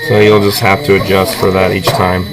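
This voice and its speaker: Low-voiced male art teacher, noisy classroom. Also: completely flat delivery, fairly quick. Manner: patient, informal explaining. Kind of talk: hands-on drawing instruction. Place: busy classroom. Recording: noisy room full of chatter. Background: loud crowd chatter.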